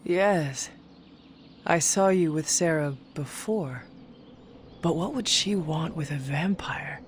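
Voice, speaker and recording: An actress playing a proud half-demon monster hunter, formal and stern, in a video game. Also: female voice, low voice, slow, a little hiss or hum. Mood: wary.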